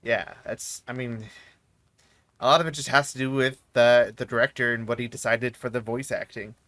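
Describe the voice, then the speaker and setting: A man's voice: medium pitch, fairly even intonation, normal speed, a bit bright, no echo. Rambling male gaming streamer. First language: not English. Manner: rambling, mumbling asides with fillers. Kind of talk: casual let's play commentary.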